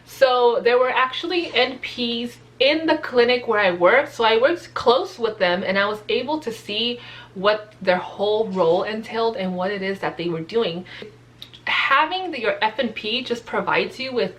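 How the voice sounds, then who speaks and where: A woman's voice: a little high, normal speed, a bit bright, rough recording. A young adult woman, a nurse practitioner student whose first language is Spanish, talking earnestly and casually to camera with lots of fillers. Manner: chatty, earnest, many fillers.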